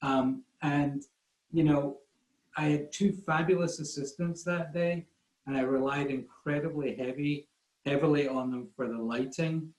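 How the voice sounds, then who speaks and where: A man's voice: on the high side, fairly even intonation, measured pace, darkish tone. A man from Scotland with a Scottish accent, a guest photographer telling rambling, self-deprecating stories in a casual online talk. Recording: roomy echo.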